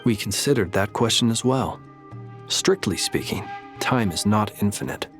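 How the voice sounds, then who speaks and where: Anime game gentleman, male actor: courteous, attentive, fry in the voice, faint music. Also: on the low side, normal speed, lightly acted. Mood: polite, impressed. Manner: polite, formal.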